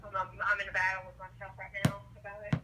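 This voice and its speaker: A woman's voice: medium pitch, monotone, quick pace. A woman speaking hesitantly and plainly in a casual phone conversation. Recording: very noisy, distant phone call.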